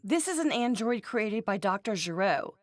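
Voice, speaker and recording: An actress playing a plainspoken, teasing young woman in an English-dubbed anime game, with a casual, expressive manner. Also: mid-range voice, moderate pace, no room sound, studio quality, lightly acted. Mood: casual, musing.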